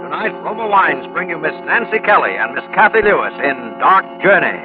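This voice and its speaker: A man's voice: on the high side, big pitch swings, a little fast. An American man announcing a 1940s suspense radio show from a script in a dramatic, portentous manner. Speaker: program announcer. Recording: muffled vintage radio broadcast, music behind.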